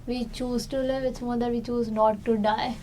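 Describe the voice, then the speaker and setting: A woman's voice: fairly high pitch, level tone, moderate pace, warm tone, a little hiss or hum. A woman talking casually as a participant in an unscripted group discussion.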